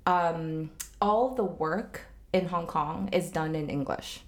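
Chatty young woman, somewhat low voice. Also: slow pace. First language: Cantonese. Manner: chatty, laughs often, many fillers and restarts. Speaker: podcast guest. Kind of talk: casual chat.

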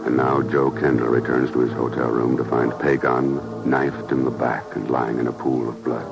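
Old time radio announcer guy, dramatic narration, dull lo-fi sound, loud organ behind him. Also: extremely low pitch, little pitch movement, normal speed, gravelly. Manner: formal, dramatic. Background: loud organ music.

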